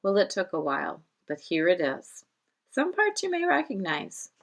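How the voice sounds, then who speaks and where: A woman's voice: medium pitch, wildly swooping pitch, moderate pace. A woman voicing an earnest fifth-grade student confiding in a teacher in a storybook, chatty in manner.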